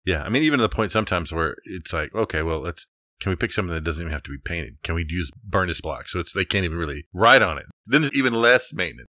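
A man's voice: sits low, animated, fast, bright, forceful tone, dry recording, clean studio sound. A man co-hosting a podcast as an architect, talking casually and conversationally.

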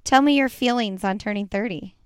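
A thirty-seven-year-old woman hosts a podcast in a relaxed, chatty way, asking her guest questions. Her voice is smooth and clear, recorded dry and close. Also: medium pitch, a little fast, dry recording. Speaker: podcast host. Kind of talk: casual interview chat.

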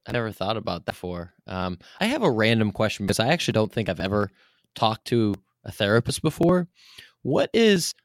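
A man's voice: mid-range voice, no echo, clean studio sound. A man hosting an interview podcast in casual chat, with informal, loosely phrased questions that meander.

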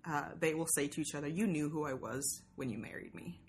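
A woman's voice: deep voice, a little fast, a little mellow, a little hiss or hum. A woman from Sweden chatting casually as a podcaster, joking and self-deprecating as she tells stories about herself. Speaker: podcast host being interviewed. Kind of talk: casual, animated conversation.

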